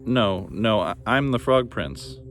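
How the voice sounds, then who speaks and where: A man's voice: fairly low pitch, animated, takes it slowly, a bit bright, soft background music. Man as the flustered, polite Frog Prince. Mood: anxious, eager. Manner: hesitant, stammering. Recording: noticeable background noise.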